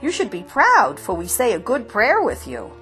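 A woman's voice: on the high side, wildly swooping pitch, normal speed, fairly bright tone, soft background music, voice pushed up. A woman voicing self-important male householders lecturing the chickens. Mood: pompous. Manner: pompous, lecturing. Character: self-important pious village householders, men.

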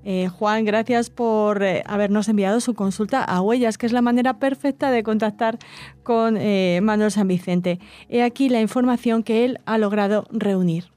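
A woman hosting a radio program, reading listener letters aloud in a measured, gentle way with occasional hesitations. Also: mid-range voice, no room sound. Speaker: radio host. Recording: faint music underneath.

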